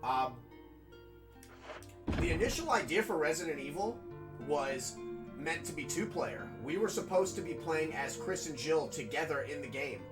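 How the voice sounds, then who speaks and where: A man with a raspy, nasal New York accent, chatting casually as a game streamer while he plays. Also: a little high, animated, moderate pace, over music. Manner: rambling, chatty, full of fillers. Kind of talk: casual gameplay commentary.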